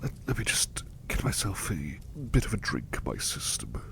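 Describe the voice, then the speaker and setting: A man voicing a gentle, devoted butler in a soft ASMR roleplay, hushed, breathy, polite and hesitant, tender and apologetic. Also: very deep voice, wildly swooping pitch, moderate pace, exaggerated voice. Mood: grateful, under the weather.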